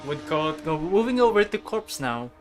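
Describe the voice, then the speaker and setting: A man's voice: fairly high pitch, very animated, normal speed, slight background noise. A man from the Philippines with a Filipino English accent, co-commentating a sim race in a chatty, jokey way.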